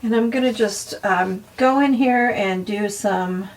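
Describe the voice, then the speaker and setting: A woman with a slightly hoarse voice, narrating a casual art tutorial softly and unhurriedly, with frequent ums and pauses. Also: mid-range voice, far from the mic, low-quality recording.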